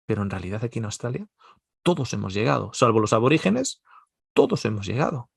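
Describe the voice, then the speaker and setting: Middle-aged Spanish-accented man, seminar speaker, gravelly voice, dry close sound, lively and rambling. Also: on the low side, quick pace, no echo. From Spain, Basque Country. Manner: animated, digressive, many restarts and stammers. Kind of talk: religious seminar talk.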